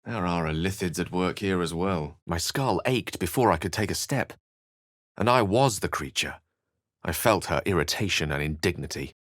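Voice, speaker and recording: An actor playing a learned, courteous and wry wizard with a touch of melancholy, speaking in articulate, theatrical phrases for a video game. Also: male voice, deep voice, animated, moderate pace, a bit bright, no echo, studio quality. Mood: reflective. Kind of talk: video game character lines and narration.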